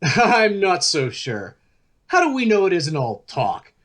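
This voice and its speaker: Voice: mid-range voice, huge pitch swings, slow pace, a bit bright, heavily acted. An actor playing a glib, sceptical male podcast host in a radio drama, bantering wryly. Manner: wry, bantering.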